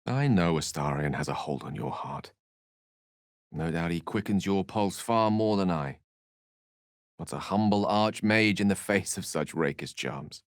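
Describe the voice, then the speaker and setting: An actor playing an eloquent, courteous and wry wizard in a fantasy video game, with a British accent and articulate, theatrical phrasing. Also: male voice, low-pitched, lively intonation, unhurried, dry recording, studio quality. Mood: earnest, troubled. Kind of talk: dramatic fantasy game dialogue.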